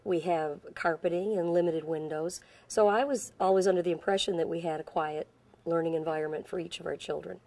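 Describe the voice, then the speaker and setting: Low mellow woman, dry close sound. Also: fairly even intonation, fairly quick, no room sound. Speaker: school staff member. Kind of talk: documentary interview.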